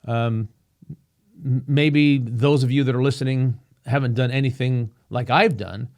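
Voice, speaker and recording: A man hosting his own podcast, talking earnestly and reflectively, with frequent restarts and a slightly rough voice. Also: medium pitch, fairly even intonation, a little mellow. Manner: earnest, halting, with many restarts and fillers. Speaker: podcast host. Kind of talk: reflective solo podcast monologue. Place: home room with a painting behind him.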